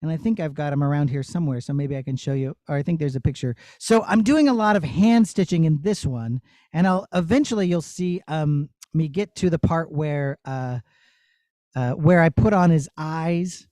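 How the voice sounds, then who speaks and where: Male puppeteer, soft mellow tone, dry recording, encouraging and explanatory with children. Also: fairly high pitch, normal speed, no room sound. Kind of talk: answering children's questions.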